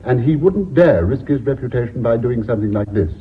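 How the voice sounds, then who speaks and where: Actor as a grave board member, thin sound. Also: male voice, medium pitch, animated, fairly quick, lightly acted. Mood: insistent. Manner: earnest, emphatic. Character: grave, loyal, firm male board member. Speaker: actor in a radio play. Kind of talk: British radio drama acting. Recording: thin, phone-like old radio recording.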